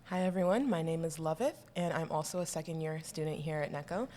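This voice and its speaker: A woman's voice: deep voice, fast talker, a little mellow, slight background noise. A young woman from Southern California, a student guest on a podcast, explaining things plainly. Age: young adult. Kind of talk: casual podcast chat.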